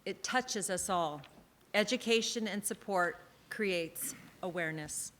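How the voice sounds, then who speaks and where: A woman's voice: on the low side, measured pace, a bit bright, a little hiss or hum. A woman on the town council delivering an earnest, emphatic prepared speech from a theatre stage at an awards ceremony.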